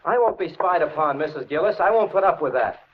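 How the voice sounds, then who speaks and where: A man's voice: a little high, animated, quick pace, husky. An actor playing a paranoid, resentful young handyman in a 1940s radio thriller, speaking in a brooding, accusing, insistent way. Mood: indignant, accusing. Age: young adult. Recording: muffled, noisy vintage radio recording.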